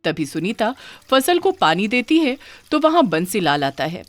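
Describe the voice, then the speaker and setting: A woman's voice: mid-range voice, big pitch swings, measured pace, dry recording. A woman reading a scripted children's moral story as its narrator.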